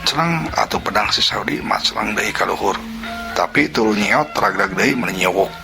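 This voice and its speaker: A man narrating a folk tale in a lively way, full of sound words and whispered asides. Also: on the low side, normal speed, vocal fry. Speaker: storyteller. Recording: music bed under narration. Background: background music.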